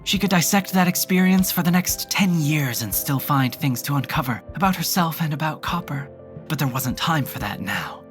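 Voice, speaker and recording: A narrator reading scripted dramatic fiction in a measured, solemn manner. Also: medium pitch, expressive, faint music behind.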